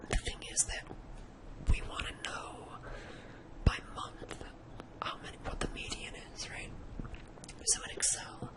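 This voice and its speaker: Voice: unhurried, pauses often, poor audio. Whispered ASMR spreadsheet tutor of indistinct sex. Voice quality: breathy, unvoiced whisper. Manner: informal, thinking aloud step by step. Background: computer keyboard typing.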